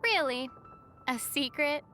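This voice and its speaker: Young adventurer girl, high bright voice, wry, teasing, video game dialogue, faint music. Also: expressive, slow pace, exaggerated voice. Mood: curious.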